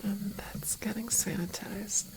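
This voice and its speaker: A woman's voice: medium pitch, fairly even intonation, rough recording. Whispering, hesitant woman in ASMR roleplay. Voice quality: breathy whisper with fry. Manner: hesitant, halting, repeats phrases softly. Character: awkward, shy female organ harvester prepping a living patient.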